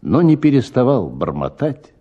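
A man's voice: medium pitch, very animated, moderate pace, mellow, highs cut off. A man narrating a literary short story for a radio drama in a scripted style.

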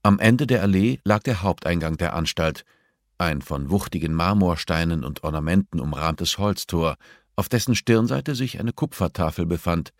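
Male audiobook narrator, low register, scripted fiction reading, dry studio sound. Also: normal speed, no room sound.

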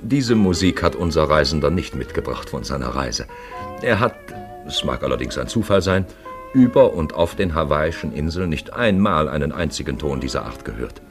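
An actor as a knowing radio narrator, reading a literary script in a wry, measured, ironic way. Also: male voice, rumbling low, sing-song. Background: music.

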